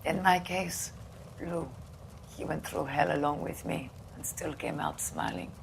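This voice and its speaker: An actress playing a troubled, guarded young female patient in a dramatic video game, speaking softly and with measured delivery. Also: low voice, very animated, gravelly, noisy background, heavily acted. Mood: subdued, earnest. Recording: background music behind the voice.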